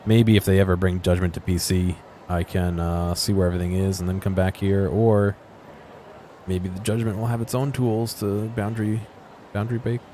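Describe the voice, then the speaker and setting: A man giving casual, off-the-cuff commentary as he explores a video game, low-key and musing to himself. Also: flat delivery, moderate pace, dark tone, a little hiss or hum. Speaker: gaming video host.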